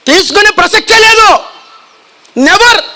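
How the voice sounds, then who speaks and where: A man preaching a fiery sermon, shouting and having the congregation repeat his lines. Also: very high voice, moderate pace.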